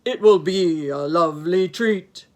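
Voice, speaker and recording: Woman putting on a loud, growly scheming wolf. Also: fairly low pitch, natural voice. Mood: booming, singsong. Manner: theatrical, loud, slow singing. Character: scheming hungry male wolf disguising his voice.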